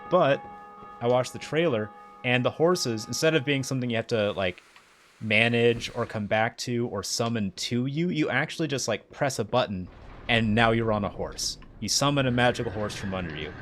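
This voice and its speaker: A man's voice: mid-range voice, normal speed, soft background music. Chatty man with Southern-Midwestern twang. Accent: Southern and Midwestern American. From United States. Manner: chatty, excitable, self-mocking, rambling. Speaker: livestreamer. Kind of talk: casual live gameplay commentary.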